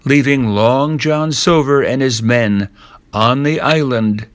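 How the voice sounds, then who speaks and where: An older man narrating a storybook in a measured read-aloud manner, with the odd stumble. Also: medium pitch, slight background noise.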